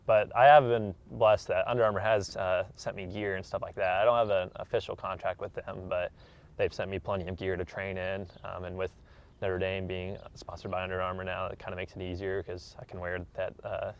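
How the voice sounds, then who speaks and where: A man's voice: sits low, little pitch movement, brisk, creaky, dull audio. A young American man in his twenties, an athlete giving a casual interview in an earnest, modest way. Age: 20s. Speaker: track athlete being interviewed.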